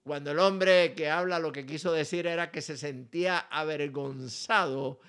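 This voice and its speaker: A man with a Puerto Rican accent, a pastor and ministry director delivering a scripted message slowly and deliberately. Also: fairly high pitch, steady tone, a bit bright, smooth. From Puerto Rico. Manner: slow, deliberate, spells out contact details carefully. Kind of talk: scripted radio-style message.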